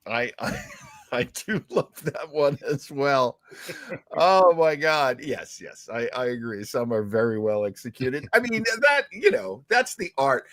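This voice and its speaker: A man co-hosting a casual livestream chat, talking in an excitable, exclamatory way. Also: medium pitch, dry recording. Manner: excitable, exclamatory, quick to laugh. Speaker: show co-host.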